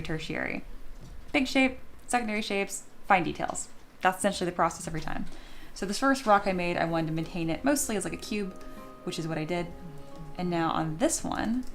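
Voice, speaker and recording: A woman with a slightly scratchy, sleepy voice, chatting informally and thinking aloud as she teaches a livestream tutorial. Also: on the low side. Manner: informal, chatty, talks to herself and viewers. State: sleepy, voice not yet warmed up. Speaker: 3D sculpting teacher and livestream host.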